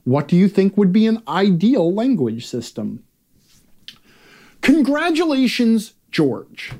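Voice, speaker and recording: Sarcastic man with wildly animated pitch. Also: slow. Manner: sarcastic, theatrical emphasis; brash, profane. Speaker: columnist and host reading own column aloud. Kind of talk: scripted comedic rant read aloud.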